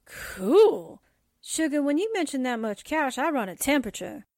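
Woman acting a brash, high-pitched newspaperwoman sidekick. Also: sing-song, normal speed, darkish tone, no echo, studio quality. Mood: awestruck. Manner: slangy, exclaiming, folksy. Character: brash, wide-eyed, wisecracking newspaperwoman sidekick. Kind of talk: 1940s radio drama acting.

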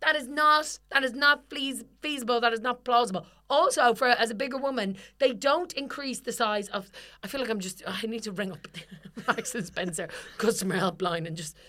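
A young woman, co-hosting a casual comedy podcast and ranting animatedly with lots of swearing, her voice slightly husky. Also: a little high, normal speed, few pauses, pushed and bright. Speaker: podcast co-host.

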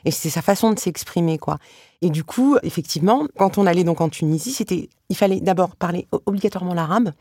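A woman guest author talking frankly and telling personal stories in a relaxed podcast conversation. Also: fairly low pitch, no echo, studio quality. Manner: brisk, storytelling, frank.

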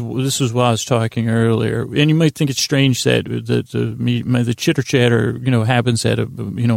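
Gravelly, rambling man telling bedtime monologue. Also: mid-range voice, a little mellow, no echo, clean studio sound. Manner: halting, repetitive, digressive, self-interrupting. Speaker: bedtime podcast host.

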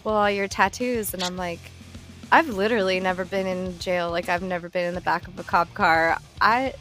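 A woman in her thirties hosting a casual chat, telling lively stories, swearing freely and laughing often. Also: on the low side, normal speed, faint music behind. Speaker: podcast host.

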